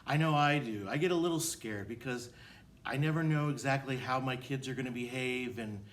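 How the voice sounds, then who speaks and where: A man's voice: medium pitch, brisk. A man, a teacher, reading a picture book aloud and addressing children in simple words.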